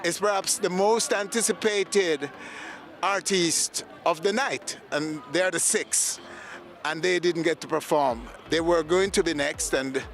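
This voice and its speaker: A man's voice: a little high, a little slow, a bit bright. A man with a Jamaican accent presenting and reporting as the host of a broadcast show.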